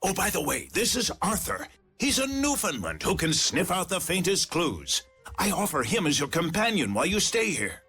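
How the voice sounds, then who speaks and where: Casting: agitated treasure-obsessed gentleman in an adventure game, bright high voice, dramatic and eager. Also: moderate pace, husky, faint music behind, exaggerated voice. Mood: friendly. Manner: excitable, dramatic. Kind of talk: video game cutscene acting.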